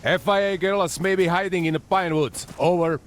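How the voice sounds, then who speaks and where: Actor as a high-pitched, brisk army lieutenant. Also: male voice, a little hiss or hum, close to an everyday voice. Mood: focused. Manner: clipped military orders, wry. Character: brisk, dry-humoured male army lieutenant commanding a tank crew. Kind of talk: naturalistic military game dialogue. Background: loud music, vehicle sounds.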